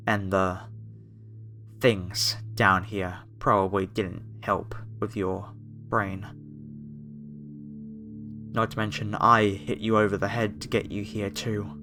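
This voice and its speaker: A man's voice: on the low side, flat delivery, slow, pauses often, bright, forceful tone, exaggerated voice. A man playing a polite, apologetic masked cultist, a former music director, in a horror ASMR roleplay addressed to the listener. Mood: calm, reverent menace.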